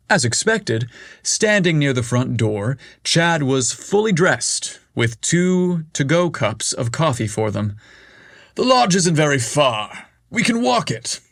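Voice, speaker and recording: A man reading a scripted story aloud, telling it slowly and evenly. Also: medium pitch, a bit bright, no room sound, studio quality. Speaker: narrator.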